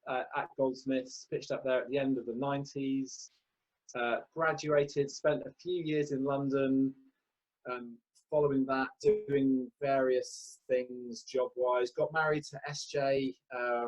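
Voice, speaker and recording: A man's voice: medium pitch, dull audio. A man in his forties, a vicar, talks through his life story in an easy interview. He hesitates often, fills gaps with ums and restarts his sentences as he gathers his thoughts. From Wales. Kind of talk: relaxed interview answers.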